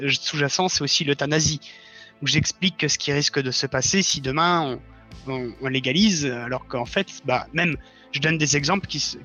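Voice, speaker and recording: A man's voice: on the high side, sharp tone, dull audio. A man speaking as a guest in a conversational interview, explaining his views earnestly. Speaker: interview guest, audio fiction writer.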